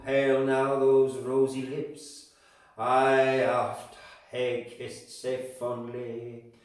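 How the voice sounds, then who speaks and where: A man doing a grieving Scottish lover reciting in a slow, declaiming lilt. He lingers heavily on every word. The voice rings out from a distance in a very echoey room. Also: medium pitch, a little mellow. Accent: Scottish, put on. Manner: slow, declaiming, raised and ringing. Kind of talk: reciting verse aloud. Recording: very echoey room, mic far off.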